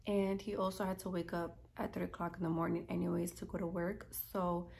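A woman's voice: fairly low pitch, little pitch movement, brisk, vocal fry, darkish tone, a little hiss or hum. A young woman, chatting casually and informally to camera as a lifestyle vlogger. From Queens, New York, United States.

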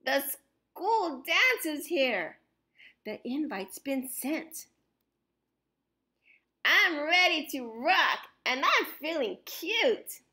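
A woman reads a children's picture book aloud in a high voice with very lively, swooping intonation. She phrases the rhymes deliberately and dramatically, leaning on key words as a storyteller does for young listeners. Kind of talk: expressive children's read-aloud of rhyming text.

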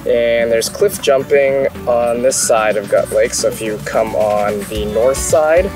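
Brief: relaxed young man, lakeside outdoors, music under him. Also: medium pitch, unhurried. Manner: relaxed, chatty, explaining gear step by step. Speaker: vlogger. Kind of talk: casual travel vlog narration. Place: outdoors by a lake. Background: background music.

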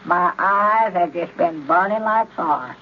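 An actor playing a fretful, excitable old country storekeeper with a rural Southern accent in a 1930s radio comedy. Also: male voice, sits high, slow. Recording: dull, noisy old radio recording.

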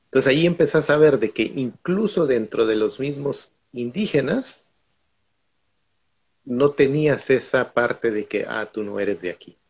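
A man's voice: medium pitch, lively intonation, unhurried, slightly rough. A middle-aged man from Guatemala, a guest on a video call, telling stories from his own life in a reflective way. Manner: reflective, storytelling. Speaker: guest speaker.

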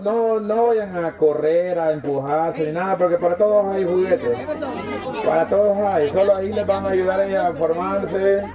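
A Salvadoran man with a slightly high voice announces informally to a crowd outdoors. He gives colloquial instructions and repeats himself as he organizes things, with plenty of people audible around him. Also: moderate pace. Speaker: host handing out toys. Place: outdoors on a village dirt road. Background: crowd of children and adults.

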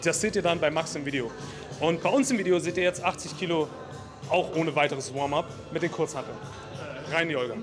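A man in his thirties with a slightly higher voice, bantering and teasing as he hosts in a crowded gym. He talks into a handheld mic while loud music plays behind him. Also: quick pace. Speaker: vlog host with handheld mic. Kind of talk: casual joking gym commentary. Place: large indoor gym with crowd. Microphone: handheld microphone.

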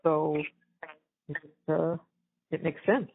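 Husky older woman on a tinny phone. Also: low voice, steady tone. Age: 70s. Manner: halting, repeats phrases. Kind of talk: casual family phone chat. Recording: tinny, band-limited jail phone call.

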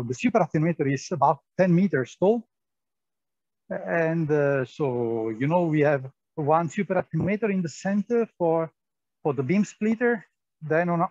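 A man's voice: fairly high pitch, darkish tone, highs cut off. A man guiding a tour through a large indoor lab, explaining as he walks, with many fillers and repeated words. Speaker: tour guide at a physics lab.

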